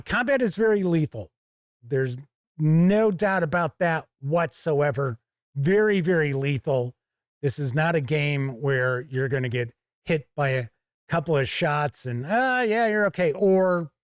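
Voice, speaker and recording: A man giving an explanatory game review, talking through rules in short, measured, emphatic phrases. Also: a little high, dry recording, clean studio sound. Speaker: board game reviewer and host.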